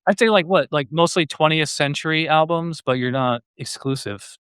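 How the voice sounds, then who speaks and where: A man's voice: fairly high pitch, normal speed, fairly bright tone, clean tone, no echo, clean studio sound. A man hosting a podcast in a casual, conversational style. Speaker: podcast host.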